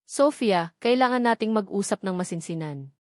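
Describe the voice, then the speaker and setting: Woman narrator as a serious man, Filipino accent, earnest, soothing, romance story, mid pitch, dry sound. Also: lively intonation, normal speed, no room sound, natural voice. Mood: serious, placating. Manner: earnest, placating. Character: serious man calming his upset fiancée.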